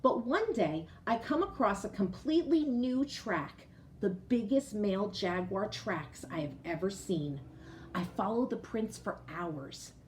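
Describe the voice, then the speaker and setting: Expressive woman reading to children. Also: mid-range voice, a little slow, slight background noise. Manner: expressive, addresses young listeners directly with questions. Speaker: teacher reading a picture book aloud to children. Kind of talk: storybook read-aloud with explanatory asides.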